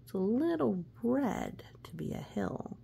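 A woman reading a children's storybook aloud expressively, with gentle asides and hushed, suspenseful phrasing. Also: mid-range voice, measured pace, vocal fry, slight background noise. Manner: expressive, hushed suspense, often dropping to a whisper. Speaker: storybook reader.

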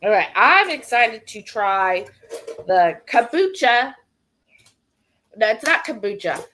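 Slow, lively-pitched woman at dinner. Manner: reads viewer comments aloud. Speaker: livestream co-host. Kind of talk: casual live cooking chat. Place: home kitchen. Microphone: fixed camera mic at the table.

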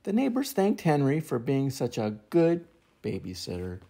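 Animated older man reading a storybook. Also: mid-range voice, moderate pace, vocal fry. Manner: expressive, acts out sound effects and voices. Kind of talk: animated children's picture-book reading.

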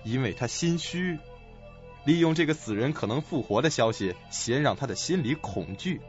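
A man's voice: mid-range voice, expressive, moderate pace, muffled recording, natural voice. A man playing a scheming, calculating doctor with a sly manner in a suspense radio play. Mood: smug, scheming. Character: scheming, smug, suspicious male doctor. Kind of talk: audio suspense drama acting. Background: music.

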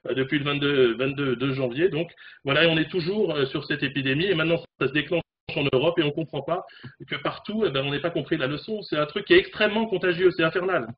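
A man in his forties speaks fast and animatedly as a correspondent over a video call. His voice sits a little high. The webcam sound is dull and carries a faint hiss. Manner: fast, animated, talks with hands. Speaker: correspondent in South Korea. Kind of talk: remote news discussion. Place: home room, indoors.

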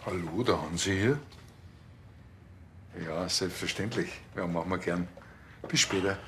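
A man's voice: low-pitched, unhurried, fairly bright tone, slight background noise. An actor playing a naive, easygoing, self-satisfied small-town policeman with a Bavarian accent in a TV crime comedy. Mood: pleased, casual.

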